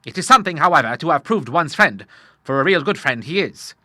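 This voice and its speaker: Guy doing a loud hearty old admiral dad, bluff and blustery, bright forceful voice, swoops around, audiobook. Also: a little high, expressive, fairly quick, dry recording, clean studio sound, pitched above the performer's own voice, exaggerated voice. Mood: bluff, proud. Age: older. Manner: bluff, exclamatory, boisterous. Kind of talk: audiobook character voice.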